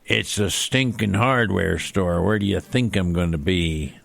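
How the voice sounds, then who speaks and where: A man's voice: sits low, a little slow, vocal fry, fairly bright tone, no room sound, exaggerated voice. A man playing a folksy, drawling, grumbling cowboy in an old-west hardware store comedy radio play. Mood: needling. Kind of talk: comedy radio theater acting.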